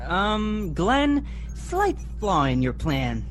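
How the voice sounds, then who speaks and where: Stoic injured boy pilot, acted by a young man, sci-fi radio play, very animated pitch swings. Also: very slow, roomy sound, in a large hall, soft background music, heavily acted. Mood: earnest. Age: young adult.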